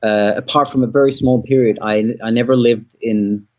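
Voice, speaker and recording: A man's voice: mid-range voice, steady tone, moderate pace, a little mellow. A man from Denmark, a musician guest, talking casually in an interview, rambling with lots of fillers and restarts. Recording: dull lo-fi audio.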